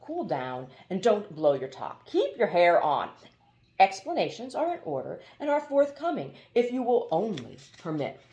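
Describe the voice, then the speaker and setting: A woman's voice: mid-range voice, big pitch swings, normal speed, mellow, reverberant, dull audio. A woman voicing a proud, fussy male water genie in a storybook, talking in wordy, coaxing strings of phrases. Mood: soothing, explaining. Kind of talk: storybook character voice.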